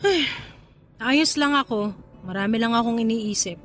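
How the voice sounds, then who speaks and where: A woman's voice: on the high side, normal speed, a bit bright, faint music behind, close to an everyday voice. A Filipino woman acting a guarded, evasive partner with a secret, in radio drama dialogue. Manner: hesitant, deflecting.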